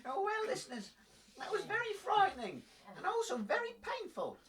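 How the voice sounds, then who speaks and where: A woman playing an excitable, easily frightened radio reporter in a comic audio drama, giving earnest live commentary. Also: very high voice, brisk, in a large hall, a crowd in the background, heavily acted. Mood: shaken. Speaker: radio presenter.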